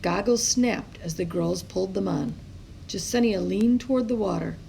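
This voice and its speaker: A woman with a creaky voice reading a children's story aloud expressively, as a storyteller. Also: medium pitch, moderate pace, warm tone, a little hiss or hum, low-quality recording. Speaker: storybook reader.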